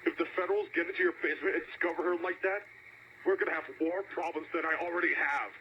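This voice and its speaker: A man's voice: fairly high pitch, steady tone, fast, muffled recording, a little hiss or hum, lightly acted. An actor playing a paranoid, ruthless male restaurant owner who gives urgent orders over a call in a horror audio tape. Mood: commanding. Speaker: villain giving orders by phone.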